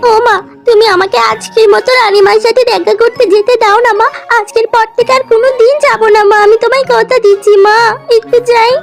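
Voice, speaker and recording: A woman's voice: very high-pitched, fairly even intonation, a little fast, gravelly, pitched above the performer's own voice. A woman voicing a stubborn, affectionate young village girl in a children's cartoon, earnest and a little whiny. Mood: pleading. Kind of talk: children's fairy-tale cartoon acting. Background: music.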